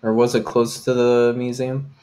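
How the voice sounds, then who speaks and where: A man's voice: medium pitch, completely flat delivery, moderate pace, warm tone, a little hiss or hum. A man playing a tabletop game, chatting casually with joking, crude banter. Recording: music behind the voice. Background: background music.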